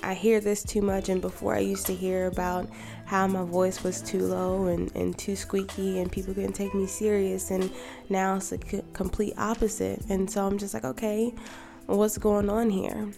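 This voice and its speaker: A woman in her thirties, a podcast co-host, talking calmly and reflectively in a quiet, soft voice. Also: medium pitch, normal speed, creaky. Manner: calm and gentle. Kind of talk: reflective personal monologue. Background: music.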